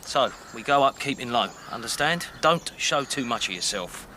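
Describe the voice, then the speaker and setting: Blunt, practical soldier, noisy backdrop. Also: male voice, medium pitch, animated, moderate pace, bright, forceful tone. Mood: wry, commanding. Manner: plain-spoken, terse, bossy. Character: brisk, blunt soldier, shaken by war but practical. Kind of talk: naturalistic period radio drama acting.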